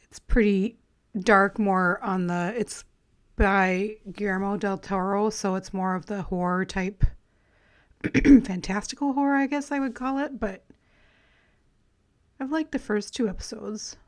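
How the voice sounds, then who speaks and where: Woman vlogger, slightly raspy and throat-clearing, relaxed rambling crafting chat. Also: mid-range voice, measured pace, pauses often. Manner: relaxed, rambling, friendly, with light laughs. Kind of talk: casual chatty voice-over while collaging.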